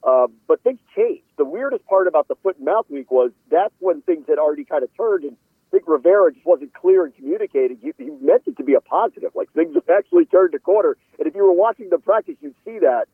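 Higher-voiced man on a tinny phone-like line. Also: sing-song, quick pace, breathy edge, no echo. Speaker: sports reporter, show guest. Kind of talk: casual sports talk and analysis.

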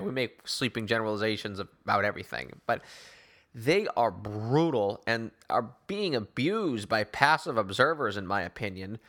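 Bright, forceful male sports host. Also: fairly low pitch. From Newton, Massachusetts, United States. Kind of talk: opinion monologue on sports talk show.

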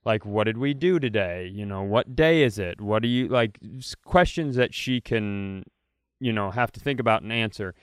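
A man, a podcast co-host, telling a comedic story with animated delivery, jumping into other people's voices. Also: lowish voice, normal speed, clean tone, no room sound, clean studio sound. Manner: animated, mimics voices in quotes, many restarts.

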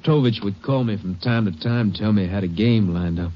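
An actor playing a remorseful, weak-willed gambler in a 1950s radio drama, speaking hesitantly and ruefully. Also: male voice, fairly low pitch, fairly quick, lightly acted. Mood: sheepish. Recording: dull vintage 1950s radio recording.

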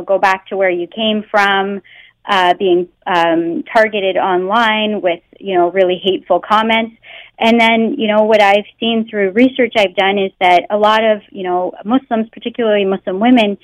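A woman's voice: mid-range voice, no room sound. A Canadian woman, a guest researcher, speaks earnestly in a phone interview, often filling with "you know" and "um". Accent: Canadian English. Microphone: telephone. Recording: muffled phone line.

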